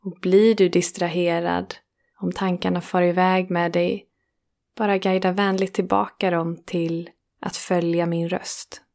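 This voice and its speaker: Flat-toned, creaky-voiced woman guiding meditation. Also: fairly low pitch. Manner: slow, soothing, with long pauses between phrases. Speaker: meditation guide and host. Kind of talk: guided relaxation meditation, yoga nidra.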